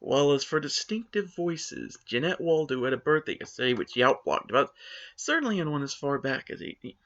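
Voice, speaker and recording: A man's voice: fairly high pitch, very animated, fairly quick, fairly bright tone, muffled recording. A man giving a dramatic reading of online forum comments, with exaggerated, indignant, theatrical emphasis. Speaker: reader of forum posts.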